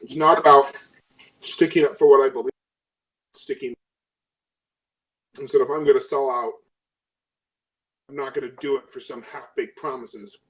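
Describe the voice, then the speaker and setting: A man's voice: medium pitch, fairly even intonation, normal speed, pauses often, muffled recording, lightly acted. A man playing a cynical, unimpressed woman of a spaceship crew in a tabletop game, with a dry, sardonic delivery. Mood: dismissive. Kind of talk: improvised tabletop role-play.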